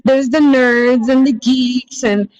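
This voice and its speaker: A woman's voice: high-pitched, steady tone, takes it slowly, darkish tone, no room sound, lo-fi sound. A young woman from the United States answering interview questions over a video call, earnest and explanatory. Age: young adult. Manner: earnest, explanatory, laughs now and then. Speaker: guest. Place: indoors, wood-panelled room. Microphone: video call webcam.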